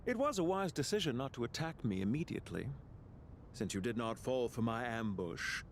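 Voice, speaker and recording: A man's voice: mid-range voice, expressive, a little hiss or hum, close to an everyday voice. Man playing a suave, lilting mastermind villain. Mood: cool, commanding. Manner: measured, polite, smug. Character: suave male mastermind villain, calm, courteous, condescending. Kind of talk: video game character acting.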